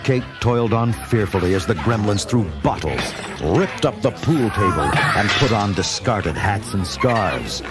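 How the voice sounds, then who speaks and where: A man's voice: lowish voice, animated, measured pace. A man narrating a children's read-along adventure in a dramatic, theatrical scripted style. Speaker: story narrator. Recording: loud music and effects behind narration.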